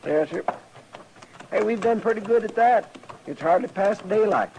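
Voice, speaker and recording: Drawling, earnest western sidekick. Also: male voice, high-pitched, moderate pace, a little mellow. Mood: concerned, earnest. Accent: rural American. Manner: drawling, plain-spoken, deferential. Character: earnest, folksy, respectful male deputy sidekick. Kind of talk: old-time radio western acting. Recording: noisy old radio recording.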